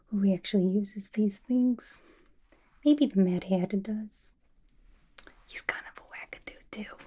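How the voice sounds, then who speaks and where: A woman voicing a playful, unhinged young villain inmate in a chummy, slangy ASMR roleplay, mostly in a breathy whisper. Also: mid-range voice, warm tone.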